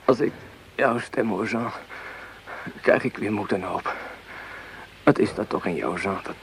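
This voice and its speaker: An actor playing a devoted, defiant young Communard rebel, wounded and gasping with pain in broken phrases, in a radio play. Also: male voice, on the low side. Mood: fading, tender. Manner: halting, breathy broken phrases. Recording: noisy old radio play recording.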